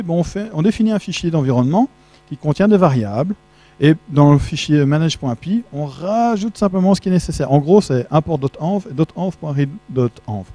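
A man's voice: a little high, mellow, dry recording. A middle-aged man speaking French at a tech conference, chatty and joking, quizzing the audience, on a handheld mic in a large auditorium. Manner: chatty, jokey, asks the audience questions. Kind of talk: casual tech conference talk. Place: large dark auditorium with projection screen. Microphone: handheld microphone.

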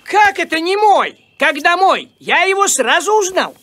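A woman voicing an anxious, money-loving male farmer cat in an animated series, with a dramatic, exclamatory manner. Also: very high-pitched, measured pace, full character voice. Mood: dismayed.

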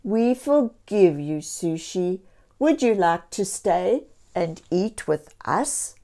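A woman's voice: medium pitch, animated, takes it slowly, warm tone. A woman voicing blunt little kitties from a children's storybook, speaking emphatically. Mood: forgiving. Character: group of blunt storybook kitties scolding a friend.

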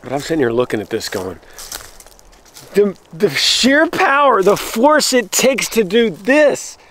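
Excitable man filming in the woods. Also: high voice, measured pace, slight background noise. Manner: excitable, breaks off and restarts, addresses viewers as guys. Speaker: vlogger showing storm damage. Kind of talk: excited walking vlog to camera. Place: outdoors in rural woods. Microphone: handheld camera while walking.

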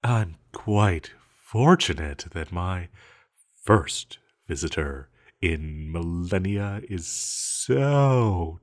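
Man playing a low, slow, seductive ancient villain. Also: expressive, heavily acted. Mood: playful, coaxing. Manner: breathy sighs, lingering pauses, teasing. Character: ancient banished male villain, seductive, possessive, scheming dream entity. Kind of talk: intimate roleplay audio acting.

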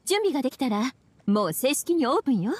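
A woman's voice: high-pitched, sing-song, fast talker, darkish tone, dry recording. Sultry teasing witch librarian. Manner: languid, teasing, calls herself big sister. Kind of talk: Japanese game dub.

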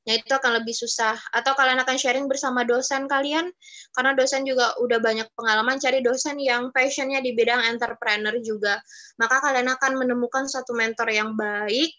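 A woman's voice: on the high side, steady tone, brisk, bright, forceful tone, highs cut off. A woman in her twenties teaching students in a casual, chatty way over a video call. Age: 20s. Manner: chatty, addresses students as younger siblings. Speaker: webinar speaker.

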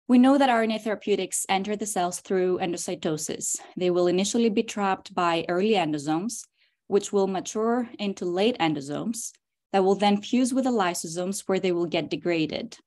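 A woman's voice: mid-range voice, moderate pace. Woman giving a science talk. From Greece. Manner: steady, explanatory, occasional um and uh. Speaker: graduate student presenter. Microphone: computer mic on a video call.

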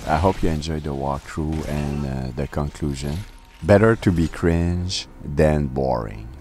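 A man's voice: a bass voice, measured pace, a little hiss or hum. A man hosting his game channel, giving a playful, self-mocking spoken review. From Canada. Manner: playful, self-mocking, with sudden exaggerated shouted bursts. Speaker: host of game walkthrough channel.

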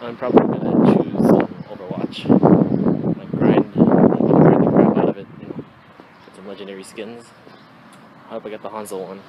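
A man's voice: on the low side, flat delivery, normal speed, dull audio. A man in his twenties rambling casually and slangily to his phone at arm's length outdoors. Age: 20s. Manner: slangy, swearing. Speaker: vlogger. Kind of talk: casual rambling vlog chat. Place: outdoors on residential streets. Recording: noisy handheld phone recording.

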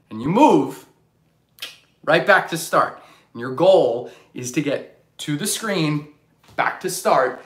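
A man in his thirties coaching a live online gym class for kids from his living room, calling out energetic commands and countdowns. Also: on the high side. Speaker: fitness coach. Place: bright living room, indoors. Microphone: camera across the room. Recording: echoey room.

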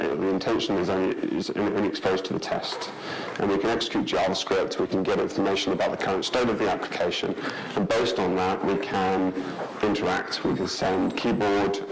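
A man in his thirties answering audience questions at a conference talk, pausing with many ums and restarts. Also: low-pitched. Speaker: conference speaker. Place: large lecture hall. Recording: noisy hall recording.

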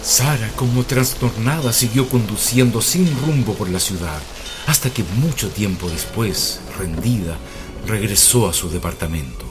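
Grave, low-voiced eerie narrator. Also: male voice, animated. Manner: measured, dramatic phrasing with pauses. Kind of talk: scripted radio drama narration. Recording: prominent background music under voice.

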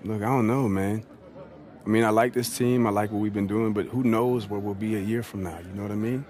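Young male rookie hoops star for a sports game, voiced by a male actor: lowish pitch, confident, casual, dryly joking. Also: steady tone, fairly quick, darkish tone, close to an everyday voice. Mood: thoughtful. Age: young adult. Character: confident, laid-back young male pro basketball rookie. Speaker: rookie basketball player. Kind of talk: naturalistic video game cutscene acting.

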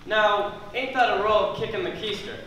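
A man's voice: sits high, moderate pace, bright, forceful tone. A man playing a folksy, stubborn oddball country customer in a stage comedy, with rustic old-fashioned phrasing. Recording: distant, very echoey, noisy theatre stage recording.

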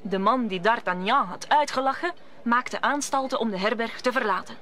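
A woman's voice: fairly high pitch, normal speed, bright, forceful tone. A woman narrating a scripted radio play as a storyteller.

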